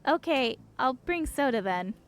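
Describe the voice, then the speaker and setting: A woman's voice: sits high, expressive, a little slow. Woman acting an eager, slightly nervous young woman. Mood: polite, eager.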